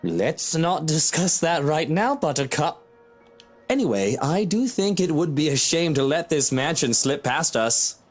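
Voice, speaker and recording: A woman's voice: sits low, sing-song, measured pace, soft background music, heavily acted. A woman voicing a haughty, petulant wealthy young husband in a visual novel game, with theatrical, whining indignation. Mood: aloof, grudging.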